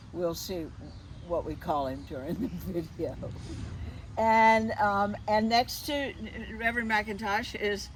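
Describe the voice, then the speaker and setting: Woman interviewer over clear background noise. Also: on the low side. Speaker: interview host. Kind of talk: conversational interview.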